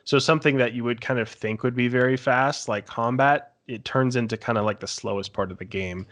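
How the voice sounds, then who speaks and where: A man's voice: medium pitch, brisk, fairly bright tone. A man talking casually and informally, rambling through stories as a podcast panelist and swearing now and then. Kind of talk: casual chat recounting tabletop game sessions.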